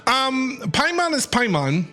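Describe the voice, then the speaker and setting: Chatty, animated woman game streamer. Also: high-pitched, slow pace. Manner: chatty, reactive. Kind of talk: casual playthrough commentary. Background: game music.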